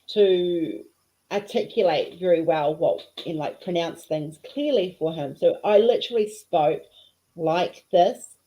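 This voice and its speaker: A woman's voice: mellow, rough recording. Sing-song Kiwi-accented woman chatting. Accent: New Zealand, slightly mixed with Australian. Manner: sing-song, pitch going up and down. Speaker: livestream host, diamond painting artist. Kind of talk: casual livestream chat.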